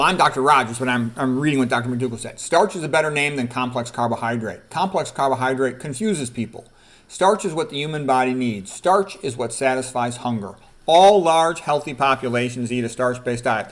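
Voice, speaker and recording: Male, middle-aged or older, mid-range voice. Doctor presenting book quotes off the cuff, emphatic, repeats key words. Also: mid-range voice. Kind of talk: informal read-aloud with commentary.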